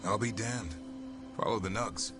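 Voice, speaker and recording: A man's voice: fairly low pitch, a little slow, loud music behind the voice, close to an everyday voice. An actor playing a skeptical, blunt male companion in a video game, with a dry, terse manner. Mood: dry, gruffly fond. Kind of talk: video game cinematic acting.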